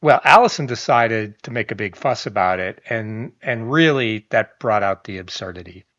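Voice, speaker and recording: A man's voice: on the low side, lively intonation, normal speed, fairly bright tone. A man, reading a news article aloud and adding casual commentary, with chatty asides, restarts and small chuckles. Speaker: online commentator. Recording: slightly dull lo-fi audio.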